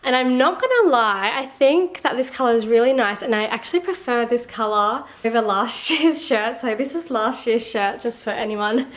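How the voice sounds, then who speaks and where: An Australian woman and teacher-seller chatting casually to camera in a vlog, a little congested and croaky, rambling with lots of fillers. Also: fairly high pitch, normal speed, hardly any pauses. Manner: rambling, filler-heavy, laughs often. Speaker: teacher and online resource seller.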